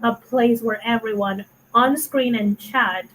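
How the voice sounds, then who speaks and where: A woman's voice: on the high side, steady tone, measured pace, a bit bright, a little hiss or hum, low-quality recording. A woman with a Puerto Rican accent co-hosting a casual online chat, earnest and thoughtful, sometimes searching for words, on a video call. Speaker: co-host.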